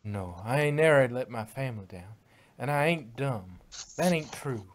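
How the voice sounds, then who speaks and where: A man's voice: mid-range voice, lively intonation, normal speed, close to an everyday voice. Man doing a stubborn farm pony girl. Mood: defiant. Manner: folksy, defiant. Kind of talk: fan fiction reading.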